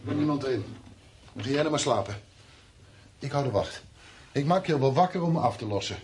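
A man's voice: medium pitch, lively intonation, a little fast, a little mellow, lightly acted. An actor playing a weary, defensive, practical man in a radio play, reassuring but turning irritable when challenged. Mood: tired, practical. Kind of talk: naturalistic radio play acting. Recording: noisy radio play recording with background ambience.

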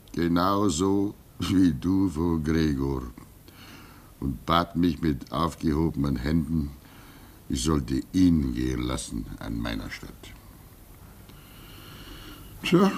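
A man in his sixties playing a weary, pious old keeper at a polar station in a radio play, speaking wistfully with soft laughs. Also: low-pitched. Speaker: actor.

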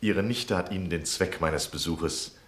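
An actor in a radio play playing a polite, eager, flattering gentleman journalist seeking to lodge. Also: low voice, a little slow, roomy sound, slight background noise. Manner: polite, smooth, deferential. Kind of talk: 1960 German radio play acting.

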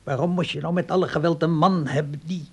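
Husky, musing older man. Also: a little high, steady tone, fast talker, darkish tone. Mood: grumbling. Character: lonely, brooding old widower and father, Frits. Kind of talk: radio play acting.

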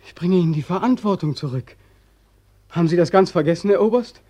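A high, rough-edged male voice of a sleepless young war veteran. He speaks with theatrical, bitter insistence, as a haunted corporal in a radio drama who cannot let go. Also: fairly quick, warm tone. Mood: urgent pleading. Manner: intense, insistent, declamatory, theatrical. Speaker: actor. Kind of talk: German radio play acting.

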